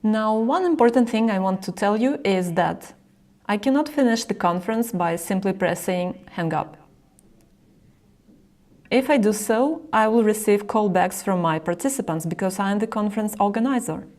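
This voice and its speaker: Woman narrating a software tutorial. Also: mid-range voice, moderate pace. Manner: explanatory, step-by-step, addressing the viewer. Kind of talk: instructional screen-recording narration.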